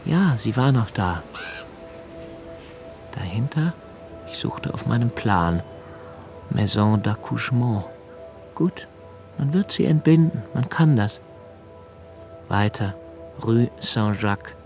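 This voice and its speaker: An actor playing an anxious, observant young poet narrating a radio play in a slow, hushed, intimate way, sometimes sinking to a near whisper. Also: male voice, lowish voice, lively intonation, faint music behind. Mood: brooding. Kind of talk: literary radio drama reading.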